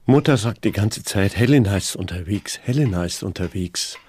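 A man's voice: fairly low pitch, expressive, moderate pace, darkish tone, slightly rough. An actor playing a brooding, grief-stricken father in a German radio play, with a heavy, solemn delivery. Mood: agitated.

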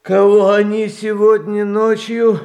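An actor playing a meek, anxious young tsar who talks in a hesitant, confused, pleading way in a theatrical radio play. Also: male voice, sits high, completely flat delivery, slow pace, a little mellow. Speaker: title character in a radio play.

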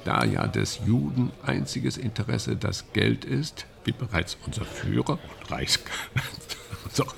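A man playing a nervous, slyly satirical actor in a German radio drama, with a gravelly voice and a halting, confiding manner. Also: medium pitch, a crowd in the background. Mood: sardonic. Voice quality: very gravelly, creaky.

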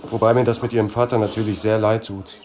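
An actor playing an anxious, deferential employee in a satirical radio play, polite, hesitant and fretful. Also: male voice, fairly low pitch, little pitch movement, fast, natural voice. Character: anxious, deferential male employee of an older boss. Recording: clear vehicle noise behind.

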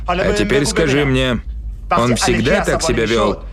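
A man's voice: medium pitch, expressive, unhurried, lightly acted. A man reading the curt, questioning lines of a stern male police investigator, as a voice-over with crowd noise underneath. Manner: curt, interrogating.

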